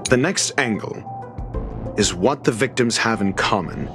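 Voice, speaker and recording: A man's voice: on the low side, animated. A man voicing a haughty, intellectual scholar in a video game, with a pompous, articulate and exacting manner. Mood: measured, grim. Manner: pompous, measured, articulate, erudite. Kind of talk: scripted video game voice acting. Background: background music.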